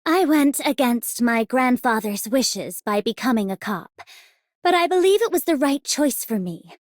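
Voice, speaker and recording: Proud young cop, game character, animated, high voice, assertive, dry sound. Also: female voice, measured pace, dry recording. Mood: determined, proud. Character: proud, determined young policewoman. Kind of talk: scripted game character voice line.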